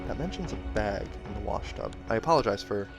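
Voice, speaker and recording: Low-voiced man reading a novel aloud. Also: lively intonation, fast talker, vocal fry, over music. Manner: self-correcting, informal. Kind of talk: audiobook narration with casual asides.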